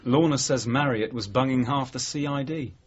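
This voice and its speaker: An actor playing a measured, questioning male police detective sergeant in a 1980s radio drama. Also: mid-range voice, natural voice. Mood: quietly probing. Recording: dull, muffled radio broadcast recording.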